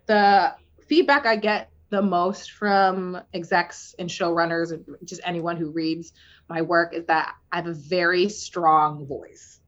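Woman tv writer chatting frank and animated, lotsa "like"s, kinda muffled lo-fi sound. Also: mid-range voice. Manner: candid, animated, many fillers. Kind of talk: casual interview conversation. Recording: dull lo-fi audio.

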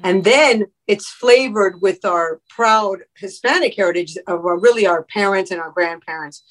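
Actress from Miami, mid-pitched woman, earnest and explanatory in conversation. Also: normal speed, rough recording. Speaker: guest actress. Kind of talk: conversational interview.

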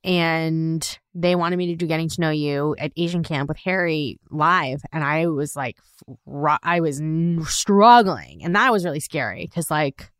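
Woman podcast co-host, lower voice, dry close studio sound, casual and giggly. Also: lively intonation, unhurried, fairly bright tone, smooth, no echo. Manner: chatty, laughs often, many fillers. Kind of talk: casual rewatch podcast chat.

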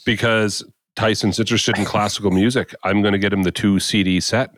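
A middle-aged man co-hosting a podcast, telling nostalgic stories in a casual, animated chat. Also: lowish voice, fairly quick, no echo, clean studio sound. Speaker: podcast co-host.

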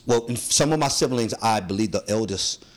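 A man's voice: lowish voice, animated, brisk. A man, talking casually and earnestly as a podcast guest, with slang and repeated phrases for emphasis. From San Francisco, United States. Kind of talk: casual interview storytelling.

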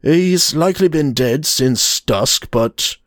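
A man's voice: on the high side, expressive, slow pace, no room sound, studio quality, voice pushed up. A man voicing an earnest middle-aged country doctor with an urgent, confiding manner. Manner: urgent, then hushed and confiding.

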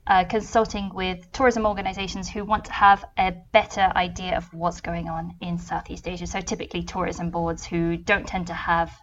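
A British woman from the UK, a travel consultant answering interview questions thoughtfully with many pauses and restarts. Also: on the low side, dull audio, a little hiss or hum.

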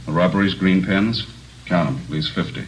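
A man acting a gruff, demanding police captain in a 1940s radio drama, brusque and businesslike. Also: deep voice, a little fast, lightly acted. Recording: muffled, noisy old radio recording.

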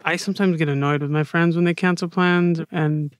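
A man co-hosting a podcast, chatting casually and reflectively about himself in a halting, colloquial way. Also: on the high side, steady tone, clean tone, dry recording. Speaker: podcast co-host.

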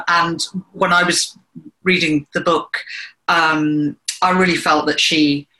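A woman's voice: low-pitched, sharp tone, rough recording. A woman interviewer who asks long, winding questions, often restarting mid-phrase.

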